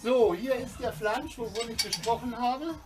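A man's voice: extremely high pitch, moderate pace, recorded from a distance, music in the background. A man in his fifties explains hands-on work step by step in a casual demonstration, recorded indoors in a small workshop on a camera a step or two away. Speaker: sailing channel host.

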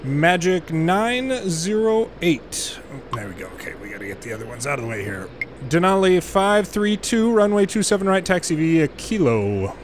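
Higher-pitched man calling out air traffic instructions. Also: sing-song. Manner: measured procedural callouts, muttered casual asides. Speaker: gamer and former air traffic controller. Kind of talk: gameplay commentary with air traffic control radio phraseology. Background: game airport ambience.

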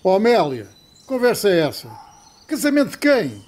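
Radio soap grocer played by a man: European Portuguese, brusque, exasperated, highly animated intonation. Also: moderate pace. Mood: baffled, indignant. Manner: brusque, flustered, emphatic. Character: exasperated, blustering male neighbourhood grocer. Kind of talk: old-fashioned radio soap acting.

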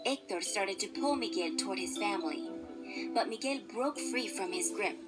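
Female narrator, medium pitch, children's storybook, scripted read-along, instrumental music behind. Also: animated, moderate pace.

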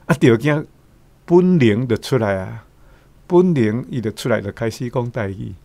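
A man's voice: medium pitch, expressive, normal speed, creaky, darkish tone, no echo. A man with a Taiwanese accent giving casual commentary, chatty and full of rhetorical questions. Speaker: guest commentator.

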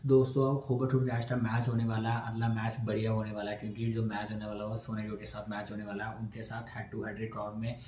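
Young man giving flat tennis recaps. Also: fairly low pitch, fast talker, few pauses, slight background noise. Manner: talking straight to camera, gesturing with his hands; runs through scores one by one in short phrases. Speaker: tennis video analyst. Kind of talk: casual post-match analysis. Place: small room indoors. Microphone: camera in front, upper body framed. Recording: roomy echo, faint background ambience.

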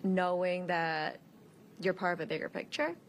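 A woman's voice: fairly low pitch, little pitch movement, moderate pace. A woman in her twenties, a student, answering in an on-location news interview. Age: 20s. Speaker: college student. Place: college training lab, indoors. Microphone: handheld interview mic. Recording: noisy room ambience.

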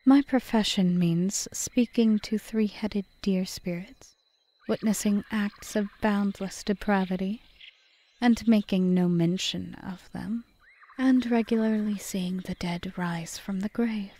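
A woman playing a cunning, possessive, seductive witch in an audio roleplay, speaking in a formal, measured, softly whispery way. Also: medium pitch. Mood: intrigued, seductive. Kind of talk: ASMR audio roleplay, scripted monologue.

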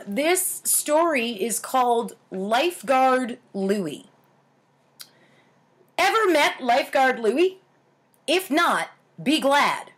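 A woman in her thirties with an American accent, reading stories aloud in a theatrical, wry, deliberate way with casual asides. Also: fairly high pitch. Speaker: host of a storytime series. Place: home kitchen, indoors.

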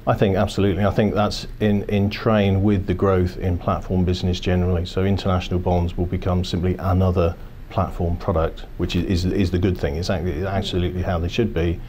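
A low-voiced man in his fifties explains business matters in a steady, corporate way and keeps circling back to restart his phrases. His tone is dark and fairly flat. He is recorded seated in a quiet office with faint ambience behind him. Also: fairly quick, hardly any pauses, a little hiss or hum. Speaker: financial services executive. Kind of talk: business interview. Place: office, indoors.

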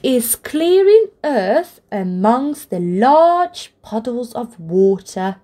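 A woman reading a picture book aloud for toddlers, with deliberate, expressive phrasing and encouraging asides. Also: fairly high pitch. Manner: deliberate, expressive phrasing aimed at small children. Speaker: children's storybook reader, channel host.

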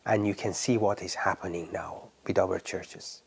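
A man from Iran, a pastor, giving his testimony in halting speech that trails off into near whispers at the ends of phrases. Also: deep voice, steady tone, creaky, slight background noise. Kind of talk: testimony interview.

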